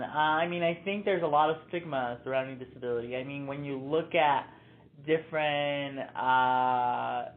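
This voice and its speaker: A young man raised in Mexico talks through his answers earnestly, pausing often on fillers and restarting his sentences. His voice sits a little high. The sound is thin and tinny, like a phone line. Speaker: interview guest, nonprofit founder. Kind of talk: casual interview answers.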